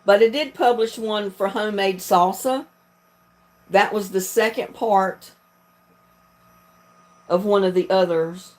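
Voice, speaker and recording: A woman's voice: medium pitch, slow pace, long pauses, rough recording. Chatty Southern woman on a livestream. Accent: Southern US, North Carolina. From North Carolina, United States. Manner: folksy, chatty, laughs often. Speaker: video creator hosting a livestream. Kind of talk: casual livestream chat.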